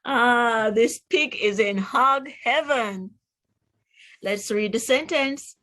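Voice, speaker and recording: A woman's voice: on the high side, slow, fairly bright tone. A woman with a Jamaican accent reads aloud to children as a teacher, enunciating words in an instructive way and putting questions to the listener. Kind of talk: children's read-aloud phonics lesson.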